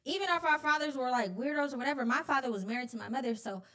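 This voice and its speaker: A woman's voice: high-pitched, rapid-fire, bright, forceful tone. A woman with an American accent co-hosts a casual talk show, speaking in a slangy, insistent way peppered with "like". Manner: slangy, frequently says "like", insistent.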